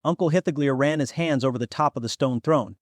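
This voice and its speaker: A man narrates a fantasy audiobook from a script. His voice sits at a medium pitch, and the recording is close and dry. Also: quick pace, no room sound.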